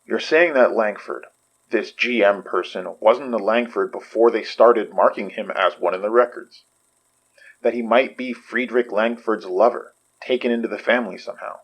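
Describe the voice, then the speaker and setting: A blunt, level-headed investigator. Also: male voice, lowish voice, normal speed, lo-fi sound. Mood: reasoning. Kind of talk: audio fiction podcast acting.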